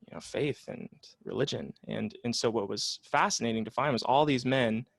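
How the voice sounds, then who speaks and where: A man's voice: medium pitch, normal speed, creaky, no room sound. A man in his late twenties, a psychotherapist, talking reflectively in a casual interview with plenty of fillers and restarts. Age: 20s. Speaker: psychotherapist guest.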